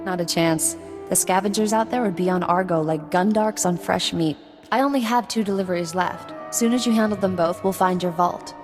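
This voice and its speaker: Casting: actress as hard-nosed game trader, sarcastic, lowish female voice, reverberant, music behind. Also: moderate pace, natural voice. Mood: businesslike. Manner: clipped, sarcastic, no-nonsense. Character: tough, sardonic, businesslike female cargo supplier. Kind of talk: video game acting.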